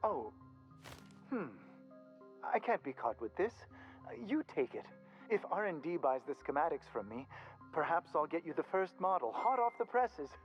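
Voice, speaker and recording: A man's voice: fairly high pitch, very animated, moderate pace. A man playing a furtive, nervous scientist tinkerer in a video game, speaking in a wordy, hesitant, politely formal way. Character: furtive, nervous male scientist tinkerer selling illicit gadgets. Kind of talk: video game character dialogue. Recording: slightly dull game audio, music behind.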